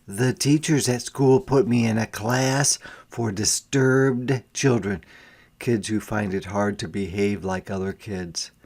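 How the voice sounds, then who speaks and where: A man reading a children's story aloud as a teacher would, deliberately, dropping into quieter asides to explain. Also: on the low side.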